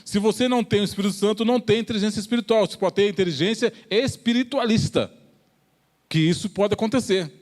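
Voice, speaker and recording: A man with a Brazilian accent preaching a church sermon, explaining in a halting way with repeated phrases and pauses. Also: high voice, darkish tone.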